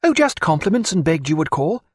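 Man voicing an excitable high-pitched British lady. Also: a little fast, breathy edge, no echo, studio quality. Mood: gushing, relieved. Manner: breathless, eager, emphatic. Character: excitable, gushing well-bred British woman, affectionate. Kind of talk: audiobook character voice.

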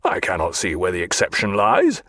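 A man voicing a proud naval captain who speaks sternly and forcefully. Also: low voice, huge pitch swings, normal speed, no echo, clean studio sound, voice pushed down, exaggerated voice. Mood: stern indignant.

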